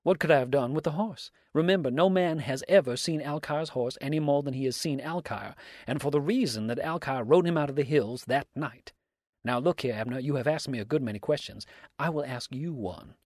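A man's voice: medium pitch, brisk, a little mellow, no echo, clean studio sound, pitched above the performer's own voice, close to an everyday voice. A man voicing a defiant, sarcastic murder suspect in a storybook, with mocking, theatrical exclamations. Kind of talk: dramatic storybook reading.

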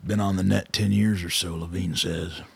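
Very gravelly, deep old colonel. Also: male voice, flat delivery, normal speed, dry recording, heavily acted. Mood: probing, dry. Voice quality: gravelly, husky. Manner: blunt, commanding, dryly pressing. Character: hard-nosed old soldier, a blunt, shrewd male colonel. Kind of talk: radio drama dialogue.

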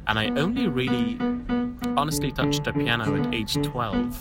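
Young man from syria, casual interview guest, easygoing, self-deprecating, rough phone recording, loud piano playing behind. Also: a little high, normal speed. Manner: relaxed, self-deprecating, laughs easily. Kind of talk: casual spoken life story. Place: room with a piano. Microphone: iPhone recording. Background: loud piano music.

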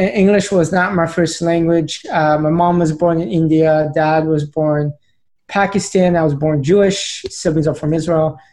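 A man's voice: a little high, a little mellow. A man in his late twenties, a podcast guest telling his story in an earnest, plain way. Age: late 20s. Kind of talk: conversational storytelling.

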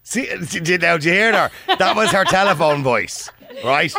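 A man's voice: on the high side, animated, a loud crowd. A man with a Dublin accent hosting a call-in radio show, teasing and laughing with callers. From Dublin, Ireland. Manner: teasing, laughing, quick interjections. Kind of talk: lively call-in radio banter.

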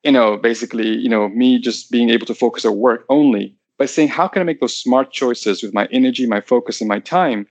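A man from Tanzania, a podcast guest in his thirties, telling his story in a quick, animated conversational way with lots of fillers. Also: medium pitch, dry recording. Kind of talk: conversational interview.

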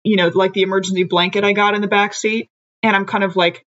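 A woman at a middle pitch plays her character in a tabletop game, hesitant and full of "uh" and "you know". Her voice comes through a dull, lo-fi microphone. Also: level tone, fast, fairly bright tone. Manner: hesitant, laughing, self-deprecating. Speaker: player.

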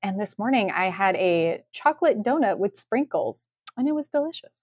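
A woman's voice: mid-range voice, animated, moderate pace, husky, no room sound, studio quality. A woman co-hosting a podcast in casual chat. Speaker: podcast co-host.

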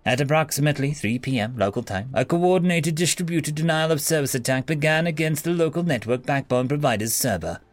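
A man's voice: a little high, fairly quick, few pauses, soft background music, pitched above the performer's own voice, natural voice. A man voicing a stern, businesslike interrogator in an audio story, speaking formally and without yielding. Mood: stern, grave. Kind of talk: acted story dialogue.

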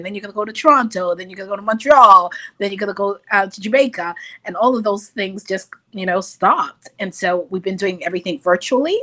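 A woman's voice: on the low side, bright, forceful tone. A woman guest author, congested from a cold, speaking in a friendly way on a video call and laughing easily. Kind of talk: casual interview.

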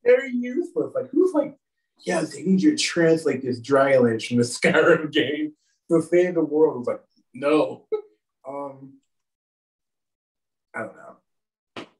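A man's voice: on the high side, sing-song, takes it slowly, long pauses. Guy with a lisp, french his first language, rambly and laughing at himself, kinda far from the mic. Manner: rambling, self-deprecating, laughs often. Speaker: guest, pro wrestler. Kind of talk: casual interview chat.

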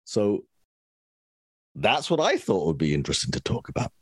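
Man, podcast co-host, hoarse, close dry sound. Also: fairly low pitch, huge pitch swings, normal speed, no room sound. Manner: reflective, meandering. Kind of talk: casual podcast chat.

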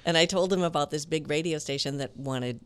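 A woman with a low, smooth, resonant voice that carries quiet authority, telling stories as a guest in an interview. She lively acts out the quotes in her anecdotes, and her voice sounds dry and close to the mic. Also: fairly quick, no echo. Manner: animated, tells stories with quoted dialogue. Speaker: voice actor guest. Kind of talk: conversational interview storytelling.

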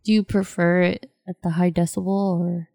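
Curious woman, mellow and slightly low. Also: little pitch movement, moderate pace, gravelly, no echo, clean studio sound. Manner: curious, asks many questions. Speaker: podcast co-host. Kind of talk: casual podcast chat. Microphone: headphones and mic.

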